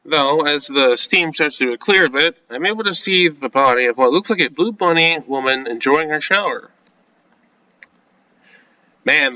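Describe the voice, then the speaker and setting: Male let's-player, forward bright tone, informal read-aloud of visual novel text with off-the-cuff reactions, very dry recording. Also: mid-range voice, no room sound, rough recording. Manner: informal, reacts with asides between read lines. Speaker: video game let's-player. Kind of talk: reading visual novel script aloud with casual commentary.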